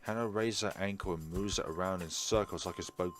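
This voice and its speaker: A man's voice: deep voice, a little fast, faint music behind. A man hosting a visual novel playthrough, reading the text aloud and mixing in casual, offhand remarks. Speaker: host of a game playthrough.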